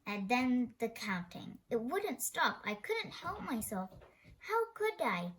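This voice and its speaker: A woman's voice: a little high, sing-song, slow pace, a bit bright. A woman reading a children's story aloud expressively, now and then stumbling over a word. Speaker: storybook reader.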